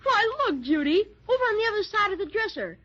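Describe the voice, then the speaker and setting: A woman playing an eager, curious young boy in a 1930s children's radio drama, with excited childlike exclamations. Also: very high voice, fast talker, full character voice. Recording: dull 1930s radio transcription.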